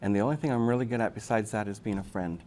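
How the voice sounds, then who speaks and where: A man telling anecdotes as an interview guest, chatty, animated and self-deprecating. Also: lowish voice, racing. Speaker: interview guest, actor and writer. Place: busy convention event room. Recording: clearly noisy live event room recording.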